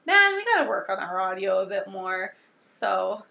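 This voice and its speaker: Woman, from Saskatchewan, podcast co-host, upbeat, agreeable, bright, gravelly. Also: mid-range voice, sing-song, moderate pace. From Saskatchewan, Canada. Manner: upbeat, agreeable, frequent affirmations. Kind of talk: casual chat.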